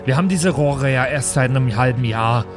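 Guy playing a tabletop game, casual improv chat, normal pitch, music under it. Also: a little fast. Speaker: player in a tabletop game podcast.

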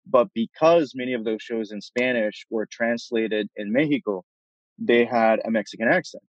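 A man from Puerto Rico speaking as a thoughtful podcast guest, laying out his ideas in articulate, measured phrases. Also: mid-range voice, dry recording, lo-fi sound. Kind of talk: reflective interview conversation.

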